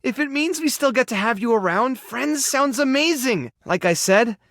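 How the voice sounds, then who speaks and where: Tender animal rescuer, dating game character, earnest, awkward, halting, very animated pitch, dry close recording. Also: male voice, dry recording. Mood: hopeful, shy. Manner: rambling, halting, sincere. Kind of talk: video game character voice acting, dating simulator.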